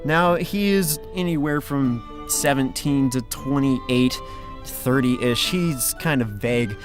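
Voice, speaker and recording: A man's voice: medium pitch, slow. A man with an informal, chatty manner presenting a casting call announcement as the project's director, close on the microphone. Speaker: fan dub director, casting host. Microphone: close XLR microphone. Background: background music.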